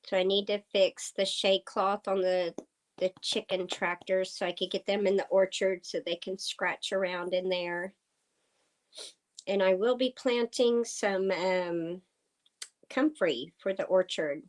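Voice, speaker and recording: A woman from Oklahoma hosting a casual livestream chat with viewers. Her voice is slightly hoarse. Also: medium pitch, steady tone. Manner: slow, chatty, talking to viewers. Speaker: livestream host. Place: farm home indoors. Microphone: computer streaming setup.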